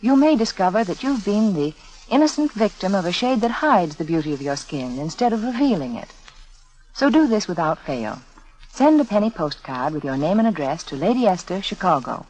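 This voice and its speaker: A woman's voice: medium pitch, big pitch swings, brisk, a little mellow, poor audio. A woman delivering a scripted 1940s radio beauty advertisement in a confiding, persuasive manner. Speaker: sponsor spokeswoman, beauty adviser. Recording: vintage 1940s radio broadcast recording.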